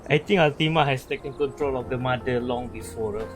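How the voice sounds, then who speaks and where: A man giving casual gameplay commentary in a hesitant, halting way. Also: medium pitch. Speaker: game streamer. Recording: loud game music behind the voice.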